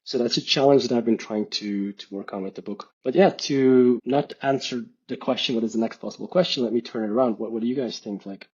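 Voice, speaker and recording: A man's voice: medium pitch, fairly quick, hardly any pauses, a little mellow, husky, dull audio. A man from Belgium, a podcast guest and author, talking in a thoughtful, hedging way with many restarts and fillers. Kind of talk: casual reflective conversation.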